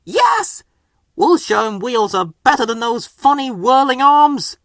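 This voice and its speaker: A man voicing a plucky young male steam engine from a children's storybook, quick to get cross and given to excited exclamations. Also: very high-pitched, no echo, studio quality, pitched above the performer's own voice, exaggerated voice.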